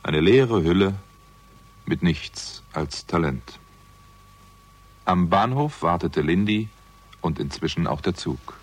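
A man's voice: low voice, lively intonation, takes it slowly, long pauses. An actor playing a weary, bitter theatrical agent who narrates his own story in a terse, sardonic way in a 1960s German crime radio drama. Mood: melancholy.

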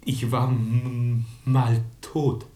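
An actor playing a timid, wounded young outsider in a radio drama, speaking haltingly with a heavy stutter. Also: male voice, mid-range voice, monotone, warm tone, roomy sound. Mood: earnest, halting.

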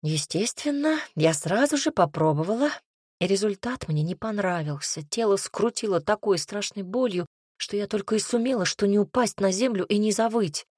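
A woman's voice: medium pitch, expressive, moderate pace, a little mellow, breathy edge, dry recording, clean studio sound. A woman narrating a first-person fantasy audiobook with a wry, ironic storytelling manner.

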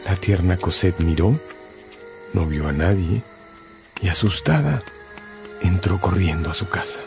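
Low-pitched male dramatic narrator. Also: measured pace, gravelly. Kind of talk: dramatic scripted radio narration. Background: music.

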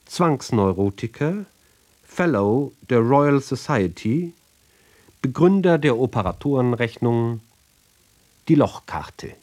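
A man giving a sober, measured literary reading for radio, phrase by phrase with deliberate pauses. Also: mid-range voice, darkish tone. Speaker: reader of literary ballads.

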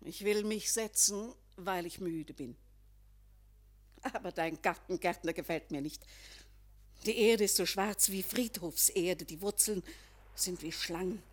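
An actress playing a weary, fearful, brooding lady in a poetic radio play, with a dramatic, halting delivery. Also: lowish voice. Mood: weary, uneasy. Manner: dramatic, halting, drifting into breathy hush. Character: weary, fearful, brooding lady sensing sudden old age.

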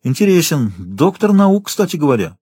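A man's voice: fairly high pitch, sing-song, normal speed, warm tone, husky, no echo, pitched above the performer's own voice. A man voicing a driven, stubborn young male journalist in an audiobook, terse and insistent.

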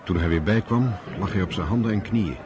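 Noise runs behind an actor as a male storyteller with a fairly low voice. He tells a war epic soberly, as scripted radio drama narration. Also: brisk, lo-fi sound, a crowd in the background, close to an everyday voice.

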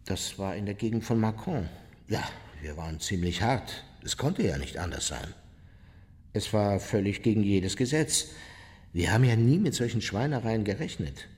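Radio play role: unrepentant war criminal, lowish pitch, blunt, self-justifying, set in long reverb. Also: male voice, animated, normal speed, a little mellow. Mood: hard, justifying. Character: unrepentant male Nazi war criminal recounting his deeds, coldly self-justifying. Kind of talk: German radio play, interview testimony.

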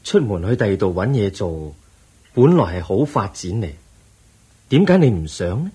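Radio play wise, kindly holy man, Hong Kong Cantonese accent, very breathy husky voice, unhurried delivery with wide, expressive intonation. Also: lowish voice, exaggerated voice. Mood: calm, kindly. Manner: measured, reassuring, sage-like. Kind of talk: Cantonese-language Buddhist radio drama acting.

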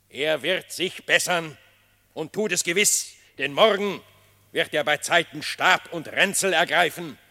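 A slow, ringing lion king. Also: a little high, bright, forceful tone, heavily acted. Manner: declaiming, stately and deliberate. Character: solemn lion king addressing his animal court. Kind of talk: classic German radio play verse acting. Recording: long hall reverb.

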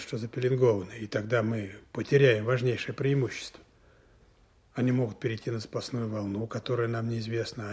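A man's voice: medium pitch, a little mellow, husky, close to an everyday voice. An actor playing a worried, stubborn lieutenant colonel in a Soviet radio drama, weighing his words as he presses his doubts. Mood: anxious, insistent. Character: worried, stubborn male army lieutenant colonel, questioning superiors.

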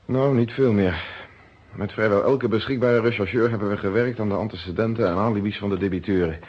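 An actor in a Dutch radio detective drama playing a weary, principled police inspector with an earnest, conversational delivery. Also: male voice, fairly low pitch, brisk, breathy edge. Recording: muffled old radio play recording.

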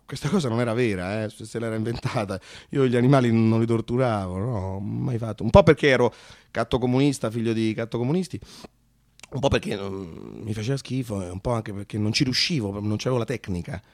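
A man performing a comic autobiographical radio monologue, halting and repeating words as he acts out bits of dialogue. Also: on the low side, darkish tone, dry recording, clean studio sound. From Rome, Italy. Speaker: actor and narrator.

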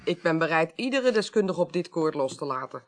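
A woman playing a sharp, determined investigator in a Dutch radio drama, speaking deliberately and insistently. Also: low-pitched, lively intonation, heavy background noise. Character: sharp, determined investigator, convinced of foul play.

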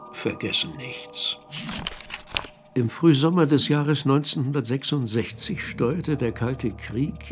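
Radio play narrator: gravelly, reflective, deliberate and cryptic, faint music underneath. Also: male voice, medium pitch. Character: reflective male narrator recounting evidence and memories.

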